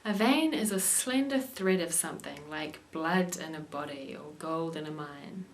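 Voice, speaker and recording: A young woman hosting an English lesson show and reading the news in a clear, steady way. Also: sits low, normal speed. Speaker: English teacher and show host.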